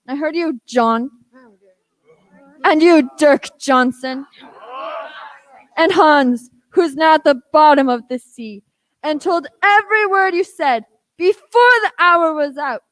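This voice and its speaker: A woman's voice: high-pitched, expressive, a little slow, a bit bright, no room sound, poor audio. A woman playing a bold, earnest young boy in a radio play, declaiming earnestly. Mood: boastful.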